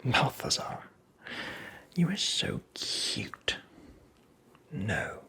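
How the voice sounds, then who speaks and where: A performer playing a creepy, teasing, very old male magical imp in a whispered ASMR roleplay, with breathy, creaky, theatrical delivery. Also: medium pitch, huge pitch swings, pushed and bright, full character voice. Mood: sly, amused. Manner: slow, theatrical, taunting, toying with the listener.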